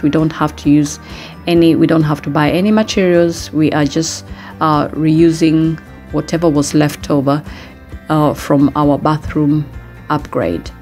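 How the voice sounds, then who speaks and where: A middle-aged woman narrating a casual home vlog in a halting, hesitant way, pausing often. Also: sits low, darkish tone. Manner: hesitant, halting delivery with frequent pauses. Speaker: home renovation vlogger.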